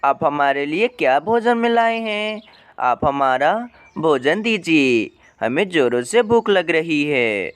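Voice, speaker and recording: A man's voice: on the high side, very animated, takes it slowly, smooth, no room sound. A man voicing a hungry little baby bird in a cartoon story, whining and insistent. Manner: whining, demanding. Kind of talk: cartoon moral story acting.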